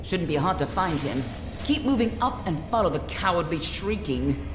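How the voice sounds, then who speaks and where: Woman playing brisk fighter, lowish voice, commanding, video game acting, echoey hall, music behind. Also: lively intonation, natural voice. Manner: brisk, instructing. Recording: echoey hall with long reverb.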